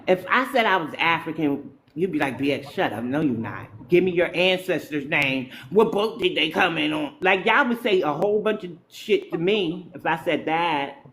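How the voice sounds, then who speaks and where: A woman's voice: sits low, animated, normal speed, hardly any pauses, a little hiss or hum. Opinionated New York woman, livestream talk. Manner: opinionated, talks straight to chat, slangy. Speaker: livestream host.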